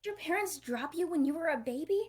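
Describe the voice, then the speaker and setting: Bratty cartoon girl of ten, played by a woman, high voice, teasing and sassy, amateur table-read delivery. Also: expressive, fast.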